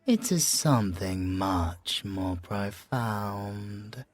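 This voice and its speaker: A man's voice: fairly low pitch, animated, no room sound, heavily acted. A man with a British accent playing a suave, flirtatious former special forces soldier in an ASMR audio roleplay, teasing and theatrical, drawing out his words and slipping into whispers. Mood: playful, intrigued. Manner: teasing, drawn-out, theatrical delivery with whispered asides. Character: suave, flirtatious, possessive male ex-special-forces bomb expert.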